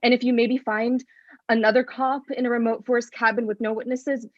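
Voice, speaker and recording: A woman's voice: fairly high pitch, level tone, fairly quick, no echo, muffled recording, close to an everyday voice. An actress playing a tense, irritable woman who is curt and profane, in a staged play reading. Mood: guarded, pointed. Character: tense, irritable woman, reluctant accomplice in a killing.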